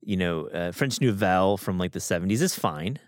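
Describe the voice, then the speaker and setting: A man with a fairly low voice hosts the conversation, eager and loose, starting his sentences over and over and padding them with "like". The sound is close and dry. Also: expressive, normal speed, dry recording. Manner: enthusiastic, rambling, many restarts and "like". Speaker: podcast host. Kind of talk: conversational interview.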